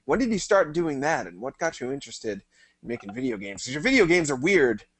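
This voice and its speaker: A male host keeps a loose, joking tone as he interviews a guest for a live stream. His voice sits at a middling pitch and sounds dry and close, with no room around it. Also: big pitch swings, brisk, fairly bright tone, slightly rough, dry recording. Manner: joking, teasing. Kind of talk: casual interview chat.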